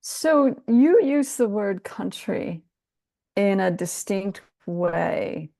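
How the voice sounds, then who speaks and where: A woman's voice: mid-range voice, expressive, extremely slow, gravelly, mellow, no echo, clean studio sound. A woman with an American accent, interviewing in a conversational way. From United States.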